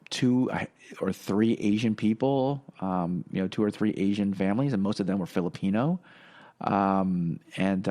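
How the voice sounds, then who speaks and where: A middle-aged man whose first language is Korean, speaking in a fairly low voice. He tells personal stories casually and rambles, with frequent fillers, restarts and small chuckles. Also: dark tone. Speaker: podcast guest.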